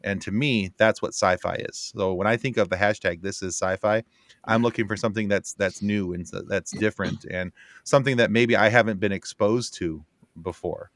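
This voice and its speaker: Male panelist, low register, dry recording, casual and halting while musing aloud. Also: fairly even intonation, a bit bright, no echo. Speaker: podcast panelist.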